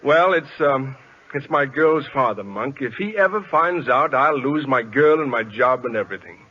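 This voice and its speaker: An actor playing an earnest, evasive professor posing as a ballplayer, speaking precisely but flustered, in 1940s radio comedy style. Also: male voice, medium pitch, expressive, moderate pace, bright, forceful tone. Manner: precise, flustered, hesitant explanations. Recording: dull old radio recording.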